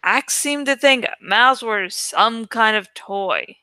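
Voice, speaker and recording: A woman reading a story aloud in expressive narration. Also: a little high, takes it slowly, clean tone, no room sound, clean studio sound. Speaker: audiobook reader.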